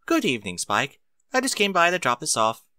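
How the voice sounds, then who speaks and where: A man's voice: on the high side, huge pitch swings, brisk, bright, forceful tone, dry recording, clean studio sound, pitched above the performer's own voice, heavily acted. A man voicing a refined, polite lady with a fussy, genteel manner for a story reading. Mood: polite, gracious.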